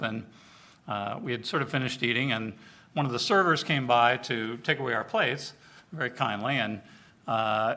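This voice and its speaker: A man preaching to a congregation in an informal, conversational way, telling personal stories and acting out the dialogue. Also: mid-range voice. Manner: conversational, animated storyteller, acts out dialogue. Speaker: preacher, overseer of church outreach. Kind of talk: sermon with informal storytelling to a congregation.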